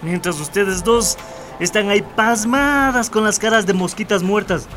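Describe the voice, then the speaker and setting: An actor playing a blunt, bossy, exasperated shop boss in a radio soap. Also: male voice, high voice, moderate pace, fairly bright tone, faint music behind. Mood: irritated, blunt. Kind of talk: radio soap opera acting.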